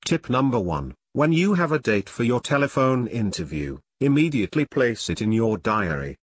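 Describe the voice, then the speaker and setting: A man presenting a scripted career advice tutorial in a measured, deliberate and formal way. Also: medium pitch, big pitch swings, slightly rough. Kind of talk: scripted instructional narration.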